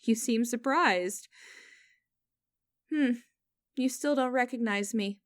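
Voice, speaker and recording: A woman playing a stern, mocking young company boss in a scripted audio roleplay, speaking in a formal, deliberate and condescending way. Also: a little high, no room sound, studio quality. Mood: mocking, smug.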